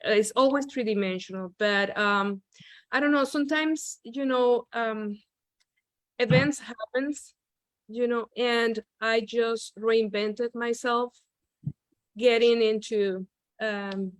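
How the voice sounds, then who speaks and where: A woman's voice: fairly high pitch, slow pace, no echo. Venezuelan woman on a video call, hesitant. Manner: hesitant, many "you know" and "um" fillers. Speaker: visiting artist. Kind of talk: casual chat on a video call.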